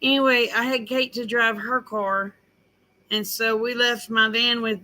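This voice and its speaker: Older woman, slow folksy rambling, casual vlog chat. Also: on the high side, fairly even intonation, sharp tone, rough recording. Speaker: vlogger.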